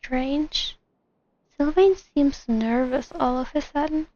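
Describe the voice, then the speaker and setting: A woman's voice: high-pitched, takes it slowly, warm tone. A woman game streamer reacting casually as she plays, on a dull lo-fi mic. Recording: dull lo-fi mic, faint noise, clicks.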